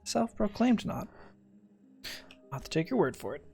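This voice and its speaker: A man's voice: high voice, very animated, a little slow, creaky. A man streaming a video game, commenting casually with wry sarcasm and reading things aloud to himself. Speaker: gamer streaming a playthrough. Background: game music.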